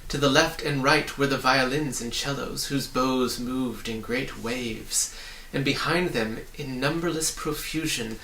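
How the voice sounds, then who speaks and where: A man with a medium-pitched voice narrates a storybook. He is recorded indoors in a roomy space, a little away from the mic, with faint hiss behind him. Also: a little slow, hardly any pauses, sharp tone, recorded from a distance. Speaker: audiobook reader.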